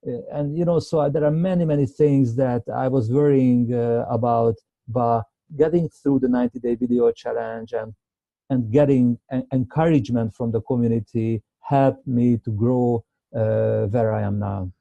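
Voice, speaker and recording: A man from Hungary with a Hungarian accent, speaking English as a second language while hosting a webinar Q&A, halting and repeating words as he searches for them. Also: medium pitch, fairly even intonation, creaky, warm tone, dry recording, lo-fi sound. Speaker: webinar host, medical doctor. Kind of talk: unscripted webinar Q&A.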